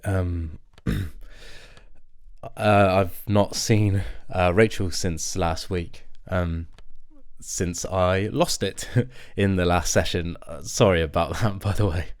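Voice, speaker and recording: An anxious, worn-out young dad in a naturalistic audio play. His voice is low and slow, and he talks haltingly, with nervous little laughs and throat clearing. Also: fairly even intonation. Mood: awkward, guarded. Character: weary, anxious new father in therapy. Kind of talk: naturalistic audio drama acting.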